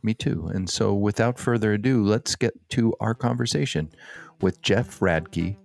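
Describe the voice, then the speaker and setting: A man's voice: fairly low pitch, measured pace, gravelly, a little mellow. A man co-hosting a business podcast, chatting casually and joking with his co-host. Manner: friendly, joking.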